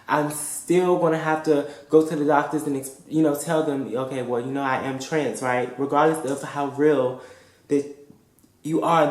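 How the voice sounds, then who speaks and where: A woman's voice: low-pitched, darkish tone. A woman giving frank, emphatic advice straight to her viewers, swearing freely and repeating her pleas for emphasis. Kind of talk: casual direct-to-camera advice vlog. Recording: echoey room.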